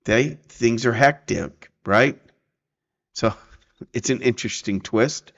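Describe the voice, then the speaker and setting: Slow, gravelly man talking through a tutorial. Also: mid-range voice, fairly bright tone. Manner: halting, conversational, often checking with "right?" and "okay?". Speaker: presenter of a software tutorial. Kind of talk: casual screen-recording walkthrough, thinking aloud. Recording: dull, muffled lo-fi sound.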